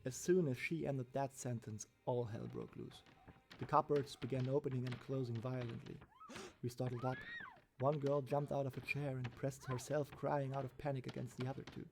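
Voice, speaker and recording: A man's voice: medium pitch, steady tone, normal speed, gravelly. A man reading a scripted first-person horror story as its narrator. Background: background music.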